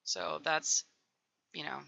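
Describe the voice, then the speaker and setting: A woman talks loosely over her build, a raspy morning voice still getting over a cold. Her tone is very bright and edgy, with a lot of fry in it. The recording sounds dull and lo-fi. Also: low-pitched, steady tone, slow. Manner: rambling, full of "um" and "like". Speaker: Sims builder doing a voiceover. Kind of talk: casual voiceover chat.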